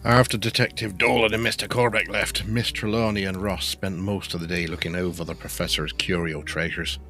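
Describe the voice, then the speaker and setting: An actor playing the composed gentleman narrator of a gothic audio drama, reading scripted storytelling. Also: sits low, steady tone, fairly quick, faint music behind.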